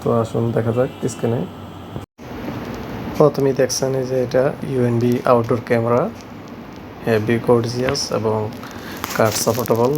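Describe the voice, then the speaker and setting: A man presenting a hands-on tech tutorial in a halting, repetitive, step-by-step way. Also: mid-range voice, little pitch movement, noisy background. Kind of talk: hands-on product tutorial narration. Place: shop, indoors.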